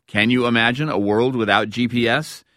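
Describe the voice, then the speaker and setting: A man's voice: mid-range voice, moderate pace, sharp tone, no room sound. An American man hosting a radio show with scripted narration in a studio. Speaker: radio host.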